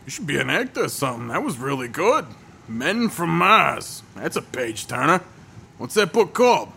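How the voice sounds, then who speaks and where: A curious, folksy working man in an audio play. His voice is bright and gravelly, with lively swings in pitch and faint noise behind it. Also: moderate pace, heavily acted. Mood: curious, friendly. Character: friendly, plain-spoken working man, curious and eager. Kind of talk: audio drama acting.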